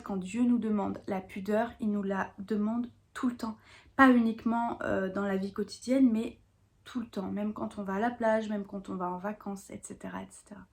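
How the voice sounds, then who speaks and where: French woman explaining faith matters earnestly. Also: medium pitch. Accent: French from France. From France. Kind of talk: explanatory faith talk to viewers.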